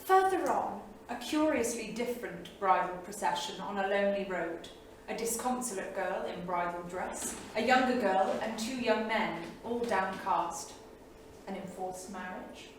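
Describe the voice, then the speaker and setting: A thoughtful, observant young wife of the 1930s, played by a woman reads from a journal on stage in a measured, literary, dry way. Her medium-pitched voice comes from far off in a strongly echoing theatre, with faint noise. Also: lively intonation, close to an everyday voice. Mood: wistful. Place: small studio theatre, live staged reading. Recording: very echoey theatre, distant mic, faint noise.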